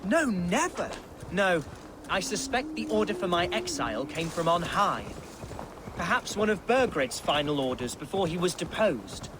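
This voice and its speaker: A man's voice: sits high, big pitch swings, normal speed, background noise. An actor playing a pompous, theatrical young Saxon nobleman in a game cutscene. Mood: musing. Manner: pompous, theatrical, florid speech. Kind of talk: video game cutscene acting. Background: orchestral game music.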